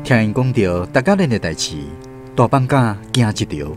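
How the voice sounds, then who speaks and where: A man's voice: fairly low pitch, lively intonation, normal speed. A man with a Taiwanese accent reading scripted storytelling narration for a radio drama. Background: faint music.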